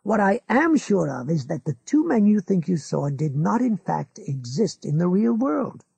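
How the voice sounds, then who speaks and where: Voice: mid-range voice, big pitch swings, normal speed, vocal fry, mellow, breathy edge, dry recording, pitched above the performer's own voice, full character voice. A reader voicing McGovern, a pushy older man lecturing his friend about his health. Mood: insistent. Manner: insistent, lecturing. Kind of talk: audiobook character voice.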